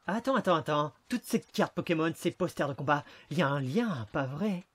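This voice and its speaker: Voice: medium pitch, animated, normal speed, breathy edge. A performer voicing a small talking creature, a cocky amnesiac detective with a sarcastic, dramatic, wisecracking manner, in a French film fan dub. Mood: teasing. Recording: music behind the voice.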